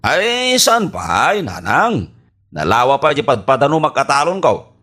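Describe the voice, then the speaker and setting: A man's voice: medium pitch, sing-song, measured pace, sharp tone, heavily acted. An actor with an Ilocano accent playing a stubborn, amorous farmer husband in a radio comedy drama, drawing out his words and interjections. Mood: stubborn, protesting.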